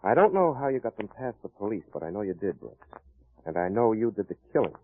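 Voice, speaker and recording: An actor playing a wry, confident private detective in a hard-boiled old-time radio crime drama. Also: male voice, on the low side, big pitch swings, fast, no room sound. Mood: hard, accusing. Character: wry confident male private detective cracking a murder case. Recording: muffled vintage radio recording.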